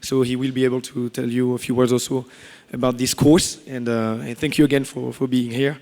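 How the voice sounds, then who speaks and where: A man's voice: mid-range voice, flat delivery, brisk, a little mellow. A man introducing a guest speaker at a workshop, formal but hesitant, with frequent fillers. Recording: echoey hall.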